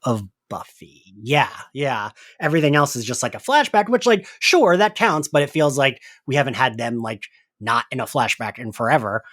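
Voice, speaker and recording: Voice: medium pitch, animated, moderate pace, a bit bright, no room sound. A podcast host chats casually in a loose fan-discussion style, peppering sentences with "like". The voice sits in the middle of the range, and the sound is dry, with hardly any room.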